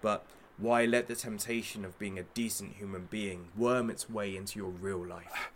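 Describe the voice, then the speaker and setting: An actor playing a menacing, sardonic talk show host in a satirical audio drama, with a theatrical, taunting manner. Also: male voice, fairly low pitch, steady tone, moderate pace, a little hiss or hum, natural voice. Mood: scornful, taunting.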